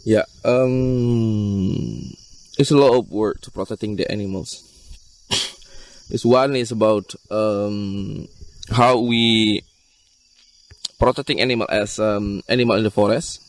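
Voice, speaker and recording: Halting Indonesian-accented man, recorded at a distance. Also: fairly low pitch, dry recording. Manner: halting, repetitive phrasing. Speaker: wildlife rescue NGO worker being interviewed. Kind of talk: interview explanation in non-native English. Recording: distant mic with insect chirps.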